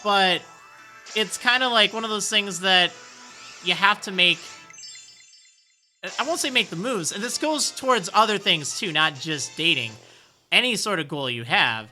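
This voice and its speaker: A man with a high, youthful-sounding voice streaming a game, reading viewer messages and answering with rambling encouragement. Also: animated, a little slow, pauses often, pushed and bright, faint music behind. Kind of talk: casual live-stream chat.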